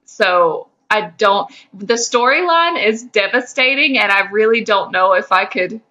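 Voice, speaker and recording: A woman co-hosting a casual podcast, playful and expressive, laughing often. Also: medium pitch, measured pace, poor audio. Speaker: podcast co-host. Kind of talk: casual pop-culture chat.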